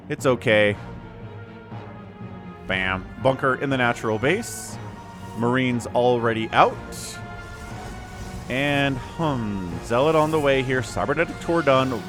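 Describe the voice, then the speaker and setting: A male game shoutcaster talking through a replay in a loose, conversational way, cracking jokes and humming to himself. His voice swings widely up and down as he reacts. Faint background music plays under him. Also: medium pitch. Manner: animated, chatty, jokey, with laughs and hummed fillers. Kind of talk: casual play-by-play commentary.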